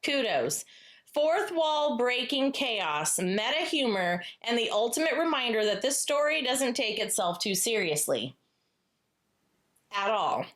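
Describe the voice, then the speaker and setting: A woman co-hosting a casual book chat in a playful, cheeky manner, pausing dramatically for emphasis. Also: fairly high pitch, very animated, a bit bright. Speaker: podcast co-host.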